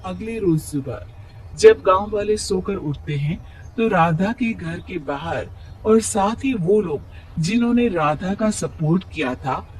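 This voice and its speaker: Slow male storyteller, slightly high voice. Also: lively intonation, poor audio. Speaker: narrator. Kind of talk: scripted story narration.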